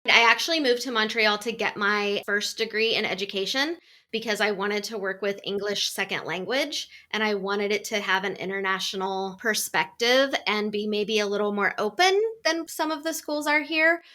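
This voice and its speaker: A woman's voice: medium pitch, normal speed, bright, forceful tone. A woman in her forties from Kansas, a guest on a podcast, talking openly in long articulate runs. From Kansas, USA. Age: 40s. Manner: open, articulate, talks in long flowing runs. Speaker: guest, life coach. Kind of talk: casual interview chat.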